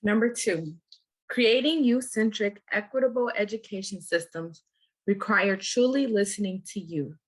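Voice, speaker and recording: Teen girl from minnesota, mid pitch, easygoing, trips a bit off script. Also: measured pace, rough recording. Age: teens. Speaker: youth leader presenter. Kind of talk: scripted youth talk.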